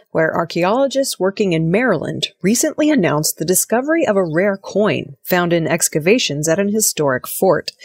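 A woman reading scripted news in a measured, formal manner. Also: on the low side, husky, no room sound. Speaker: news reader.

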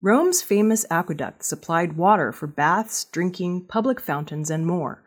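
A woman reads the news from a script in a slightly low register. Her voice has a husky grain, and her intonation moves in a lively way through each sentence as she narrates. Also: unhurried. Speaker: news reader.

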